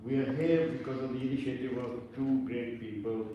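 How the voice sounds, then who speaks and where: A man's voice: medium pitch, fairly quick, highs cut off. A man from India giving a formal introduction speech at an event, with chatty, self-deprecating joking asides. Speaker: event speaker introducing the host. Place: large hall with audience. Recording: echoey hall, distant mic, faint noise.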